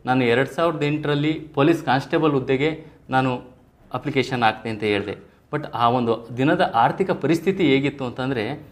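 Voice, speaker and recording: Male, thirties, Karnataka Kannada accent, mid-pitched, earnest and formal podcast guest, echoey room. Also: moderate pace. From Karnataka, India. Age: 30s. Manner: earnest, formal phrasing with English terms mixed in. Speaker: interview guest, police sub-inspector probationer. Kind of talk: podcast interview, personal storytelling. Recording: roomy echo, faint background noise.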